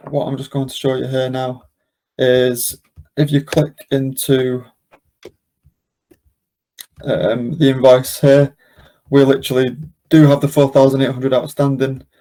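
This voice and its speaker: A man presenting an informal step-by-step software tutorial, explaining as he goes in an unscripted, hesitant way with frequent ums. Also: medium pitch, creaky. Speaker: presenter of a software demonstration webinar.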